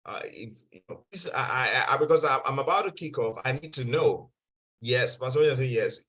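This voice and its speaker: A man leads an online seminar in a mid-pitched voice, restarting phrases and repeating words as he goes. The sound is dull and lo-fi, as heard over a video call. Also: animated, moderate pace, fairly bright tone. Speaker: webinar presenter.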